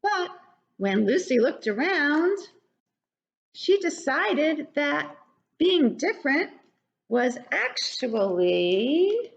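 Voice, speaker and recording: Slow, expressive older woman reading to children. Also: high-pitched, vocal fry. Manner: animated, addressing young children. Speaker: children's story reader. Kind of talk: read-aloud picture book narration for preschoolers. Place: living room at home. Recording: dull, lo-fi webcam sound.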